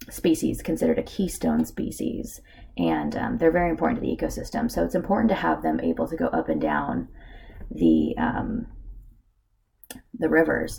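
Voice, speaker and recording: Woman, hoarse from a cold, very gravelly, low-pitched, casual slideshow narration. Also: darkish tone. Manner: casual, with many filler ums. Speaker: student presenter.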